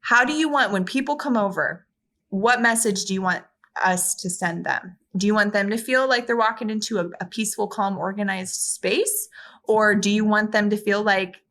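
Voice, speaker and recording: A woman's voice: medium pitch, normal speed, fairly bright tone. A woman in her thirties, a kindergarten teacher, chatting expressively on a video call from her classroom, often restarting her sentences. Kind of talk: casual video-call interview. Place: classroom with windows.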